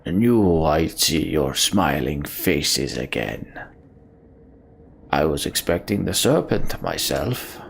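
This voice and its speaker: A fearsome warlord as a male player acts him out taunts his foes in a slow, gravelly growl. The voice is very low and creaky, made for fantasy game villainy, over faint background noise. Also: big pitch swings, exaggerated voice. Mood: menacing, taunting. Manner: slow, drawn-out menace. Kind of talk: dramatic reading of fantasy game dialogue.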